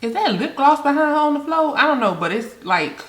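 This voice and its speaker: A woman's voice: high-pitched, very animated, fairly quick, a bit bright, echoey room. A woman hosting a reaction video, chatting casually and talking straight to her viewers.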